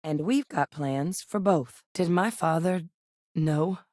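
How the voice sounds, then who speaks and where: A woman's voice: deep voice, slow, darkish tone, no echo, clean studio sound. An actress voicing a capable female scientist companion in a game, earnest and matter-of-fact. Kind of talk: video game voice lines.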